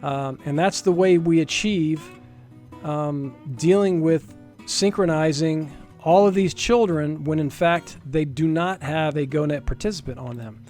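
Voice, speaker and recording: A man's voice: fairly high pitch, measured pace, a little mellow. A man narrating an informal screen-share programming demo as a developer, explaining step by step in a casual way and stressing key points, with guitar music behind him. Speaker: software developer presenting a demo. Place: indoors, screen recording voice-over.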